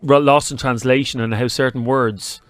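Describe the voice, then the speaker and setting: A man's voice: medium pitch, steady tone, moderate pace, clean tone, dry recording, faint music behind. A man with a Dublin accent co-hosting a radio show, bantering casually in a teasing, incredulous manner. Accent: Irish, Dublin. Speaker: radio co-host.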